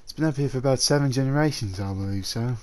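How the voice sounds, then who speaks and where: Male actor as possessive dragon suitor in human form, mid-pitched, gently coaxing, halting, for roleplay audio drama. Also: natural voice. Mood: eager, fond. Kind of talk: roleplay ASMR audio drama, addressing the listener.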